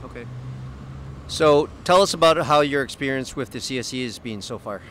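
A middle-aged man interviewing a guest on a city street, asking brief questions and adding quiet mm-hmms. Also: mid-range voice, moderate pace. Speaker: interviewer for an exchange's video series. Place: busy downtown street outdoors. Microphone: handheld mic. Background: faint city traffic.

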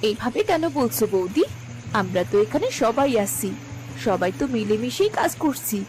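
A woman's voice: mid-range voice, natural voice, low-quality recording. A woman playing emphatic, melodramatic village women in a cartoon folk tale. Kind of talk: Bengali cartoon fairy-tale voice acting. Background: background music.

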